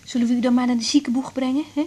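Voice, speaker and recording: An actress playing a young, patient and businesslike doctor on night duty, in a naturalistic Dutch radio drama from the eighties. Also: female voice, high voice, steady tone, racing, warm tone, husky. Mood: calm, reassuring. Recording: noisy 1980s radio drama recording.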